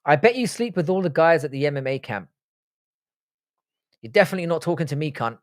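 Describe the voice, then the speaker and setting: A man's voice: on the high side, sing-song, fast talker, no echo, clean studio sound. A young man, raised in the UK, hosting a live stream and answering viewer questions in blunt, profane, joking chat. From born in Ireland, grew up in the UK. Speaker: livestream host.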